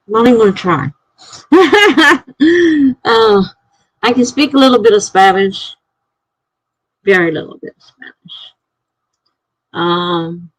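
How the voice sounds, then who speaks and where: A woman's voice: sits low, breathy edge, no room sound, low-quality recording. A woman hosting a live stream, reading viewer comments aloud in a chatty way and laughing often.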